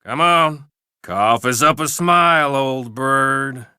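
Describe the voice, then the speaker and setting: An actor playing a mean Old West outlaw in a video game, mocking and insulting his gang with drawn-out taunts. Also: mid-range voice, bright, forceful tone, clear, resonant tone, no room sound, studio quality. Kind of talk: video game character barks.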